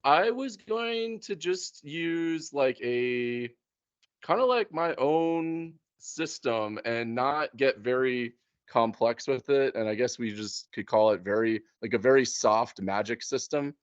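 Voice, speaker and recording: A man's voice: mid-range voice, takes it slowly, smooth, dry recording, muffled recording. A man in a casual chat, explaining his plans as a game master in a hesitant, conversational way. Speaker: game master planning a tabletop campaign.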